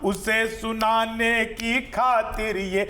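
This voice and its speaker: A man's voice: extremely high pitch, little pitch movement, extremely slow, pushed and bright, soft background music. A man reciting rhythmic verse in a declamatory chant, stretching line endings and repeating lines. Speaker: comic poet reciting at a poetry gathering. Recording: roomy echo, some background noise.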